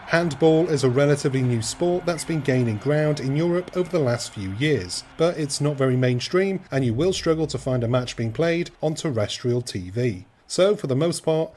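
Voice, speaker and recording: Husky man reviewing games. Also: a little high, darkish tone. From rural Wales. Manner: dry, cheeky humour. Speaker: game reviewer. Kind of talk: scripted video game review voice-over.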